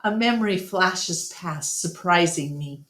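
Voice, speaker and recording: A woman reading a storybook aloud as narrator, deliberate and expressive. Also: on the low side. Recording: echoey, reverberant room.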